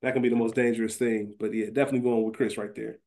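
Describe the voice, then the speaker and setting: A man's voice: fairly low pitch, level tone, rapid-fire, a little mellow. A man co-hosting a podcast, chatting informally and casually with slang. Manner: informal, slangy, with some swearing. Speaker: podcast co-host. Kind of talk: casual chat; fantasy draft discussion of a TV show.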